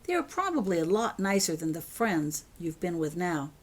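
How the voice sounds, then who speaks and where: A woman's voice: lowish voice, sing-song, moderate pace. Woman as an insistent, clever young girl. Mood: calm advice. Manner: insistent, persistent. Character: insistent, clever young daughter, calm and persistent.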